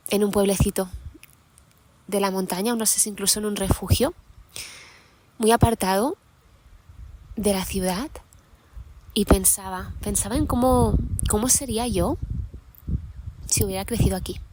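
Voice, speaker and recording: A young woman hosting a podcast, musing aloud in an unscripted monologue while sitting outdoors in a mountain meadow, thoughtful and unhurried with many pauses and restarts. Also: mid-range voice, darkish tone, poor audio. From Catalonia, Spain. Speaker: podcast host.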